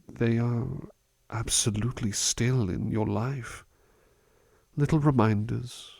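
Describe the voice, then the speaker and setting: A man playing a gentle, devoted butler in an intimate ASMR roleplay, soft-spoken, courteous and reassuring, with hesitant phrasing. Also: fairly low pitch, creaky, breathy edge, dry recording, heavily acted. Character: gentle, devoted, polite butler attending to a master.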